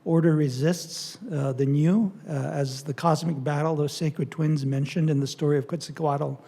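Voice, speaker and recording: An older man giving a public talk and answering audience questions, speaking haltingly with many fillers and restarts. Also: fairly high pitch, fairly even intonation, gravelly, mellow. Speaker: lecturer on Native studies.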